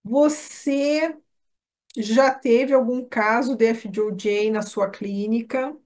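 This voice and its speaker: A woman with a Brazilian Portuguese accent and a slightly high-pitched voice. She speaks as a course instructor in an informal live webinar. Her way of talking is chatty and direct, addressed straight to the people attending. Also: slow pace, darkish tone. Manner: chatty, addresses listeners by name.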